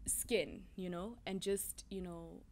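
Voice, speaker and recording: A woman's voice: fairly low pitch, steady tone, slow pace, a little mellow, a little hiss or hum. A woman hosting a casual sit-down interview, asking long, rambling questions that circle back on themselves. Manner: rambling, repeats her questions. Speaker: interview host. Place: small indoor room, seated in an armchair. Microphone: handheld mic passed between speakers.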